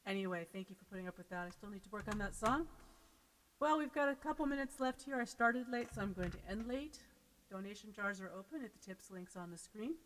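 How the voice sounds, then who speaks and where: An American woman from Seattle, a folk singer chatting casually between songs on her live stream, quick and self-deprecating. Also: medium pitch, animated, fairly bright tone, in a large hall, slight background noise. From Seattle, United States.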